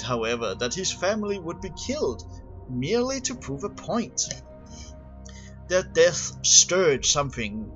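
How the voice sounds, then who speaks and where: A man reading a scripted narration aloud in a halting way, often stumbling over and repeating words. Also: mid-range voice, a bit bright. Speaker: amateur reader of game lore. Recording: dull lo-fi home recording, music behind. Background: background music.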